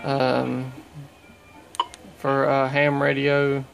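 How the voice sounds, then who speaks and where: A man giving a casual, unscripted review of his radio gear at home in a halting, plain-spoken way. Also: mid-range voice, monotone, vocal fry, over music, low-quality recording. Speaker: radio hobbyist showing his equipment. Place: small indoor room at home.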